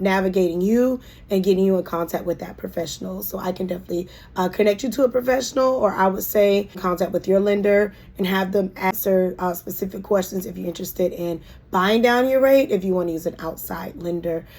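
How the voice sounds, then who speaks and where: A woman real estate agent talks through financing choices in a relaxed, conversational way. Her voice is hoarse, and she pauses often with ums and restarts. She sounds friendly and down to earth. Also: mid-range voice. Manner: chatty and warm, with frequent ums and restarts. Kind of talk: casual explainer video.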